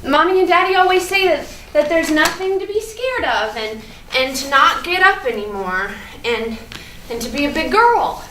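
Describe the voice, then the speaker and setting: Woman playing a high-pitched, childlike little girl. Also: very animated, pushed and bright, heavily acted. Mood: anxious, earnest. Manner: childlike, halting, repeats words for emphasis. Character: innocent, chatty, fearful little first-grade girl. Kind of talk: theatrical monologue at a staged reading. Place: indoor room at a live staged reading. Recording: roomy, distant, noisy live stage recording.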